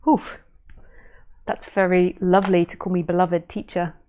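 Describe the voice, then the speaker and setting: Soft-spoken woman teacher musing slowly. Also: on the low side, level tone. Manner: gentle, unhurried, musing with fillers and restarts. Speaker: spiritual teacher. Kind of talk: casual live stream talk answering viewer questions.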